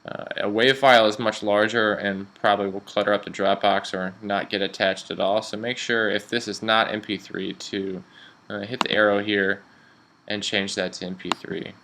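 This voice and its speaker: Bright-voiced man giving a software tutorial. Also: lowish voice, little pitch movement, moderate pace, a little hiss or hum. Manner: informal, instructional. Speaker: radio instructor. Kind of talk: software tutorial narration, step-by-step explaining.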